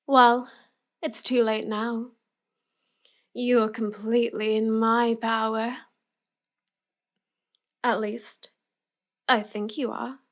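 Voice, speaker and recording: A woman performs a young villainess for a roleplay audio, cute yet manipulative. Her voice sits a little high and she speaks slowly, savoring each smug, teasing line as she talks straight to the listener. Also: level tone. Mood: smug, gloating. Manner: slow, smug, taunting delivery.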